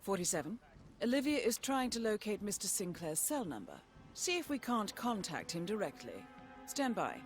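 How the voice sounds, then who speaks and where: Casting: actress as focused female spy handler for a game, businesslike, animated pitch, light music behind. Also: normal speed, lightly acted. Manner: businesslike, instructing. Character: focused woman intelligence handler guiding an agent remotely. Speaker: mission handler on comms. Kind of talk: video game voice acting.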